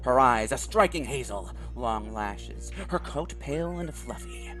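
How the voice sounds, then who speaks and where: A video host reading a visual novel aloud and commenting on it in a dramatic, expressive manner. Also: mid-range voice, unhurried, faint music behind.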